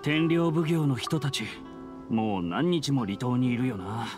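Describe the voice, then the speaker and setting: A man's voice: mid-range voice, animated, unhurried, natural voice. An actor playing a puzzled, mild young townsman in an anime game, gossiping casually. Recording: music behind the voice.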